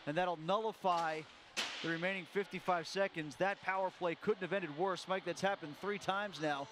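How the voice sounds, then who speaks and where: A man calling a live hockey game play by play, quick calls that jump up on every goal. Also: high voice, steady tone, noisy background. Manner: rapid calls that rise sharply on goals. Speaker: play-by-play announcer.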